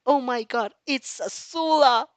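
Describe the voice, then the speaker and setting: A woman reading fan fiction aloud in an expressive way, breaking off for casual reactions and restarts. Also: high voice, measured pace, no echo.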